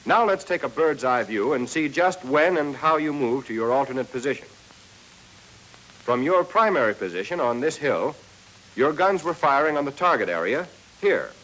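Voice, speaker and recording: Male narrator, American, 1940s army training film style. Scripted, instructional, mid-pitched, speaks straight to the trainee. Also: animated, normal speed, a bit bright, rough recording. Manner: instructional, addressing trainees directly.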